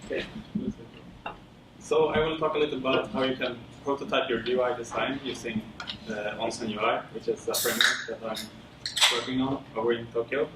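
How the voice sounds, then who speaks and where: A man from Sweden presenting casually at a tech meetup, with many fillers and a relaxed, rambling delivery. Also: medium pitch, fairly quick. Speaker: conference speaker. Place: lecture room. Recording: roomy, distant with audible background noise.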